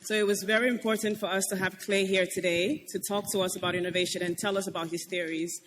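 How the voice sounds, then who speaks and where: A man's voice: sits high, little pitch movement, quick pace, fairly bright tone, slight background noise. A man speaking for the organizers, giving polite, formal closing thanks. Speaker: event organizer.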